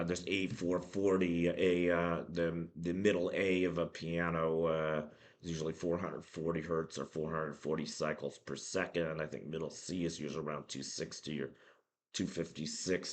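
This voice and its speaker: Low-voiced guy with a hoarse sick voice, explaining math loosely, lots of uhs and restarts. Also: level tone. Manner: halting, many ums and restarts. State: hoarse from a cold. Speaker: math channel host. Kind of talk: casual spoken math lesson.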